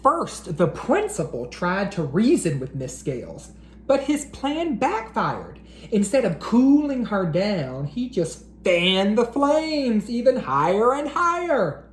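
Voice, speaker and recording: A woman reading a children's picture book aloud in an expressive, unhurried storyteller's voice. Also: medium pitch, echoey room, slight background noise.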